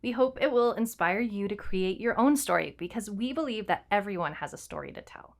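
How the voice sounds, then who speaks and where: A woman's voice: mid-range voice, animated, fairly quick, creaky. A woman co-hosting a podcast, talking casually and giving informal, encouraging advice as a writer. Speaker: podcast co-host and fiction writer. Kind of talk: casual conversational podcast talk.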